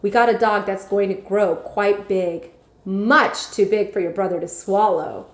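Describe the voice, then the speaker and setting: A woman reading as a kindly, reasonable father talking to his son in a measured, explanatory way. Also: medium pitch, close to an everyday voice. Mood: gentle, firm. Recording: echoey room.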